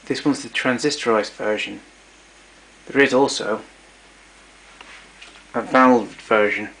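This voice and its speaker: A man narrating a casual show-and-tell of his tape recorders, explaining step by step with pauses and fillers. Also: medium pitch, fairly even intonation, vocal fry, a bit bright, husky, low-quality recording.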